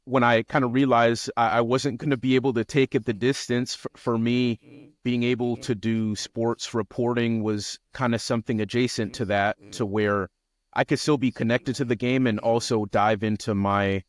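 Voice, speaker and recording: A man's voice: mid-range voice, level tone, moderate pace, no echo. An American man in his late twenties from Seattle, talking about himself reflectively as a guest in a conversational interview. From Seattle, United States. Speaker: interview guest, journalist and podcaster. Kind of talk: conversational interview, personal account.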